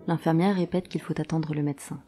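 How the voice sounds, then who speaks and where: A woman's voice: deep voice, fairly even intonation, quick pace, noisy background. A woman narrating a scripted audio drama in terse, present-tense storytelling.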